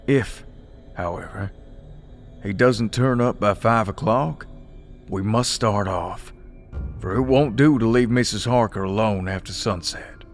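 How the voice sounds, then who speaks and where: An actor playing a resolute, practical adventurer in an audio drama, plain-spoken and decisive with a gravelly voice. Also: male voice, lowish voice, measured pace, soft background music, lightly acted.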